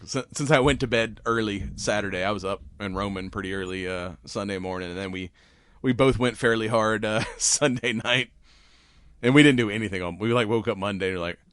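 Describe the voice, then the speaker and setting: A man co-hosting a podcast, chatting casually and rambling with laughs, his voice raspy and worn. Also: fairly low pitch, fairly quick, fairly bright tone, no room sound. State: raspy, voice worn from cigars and whiskey. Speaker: podcast co-host. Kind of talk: casual chat.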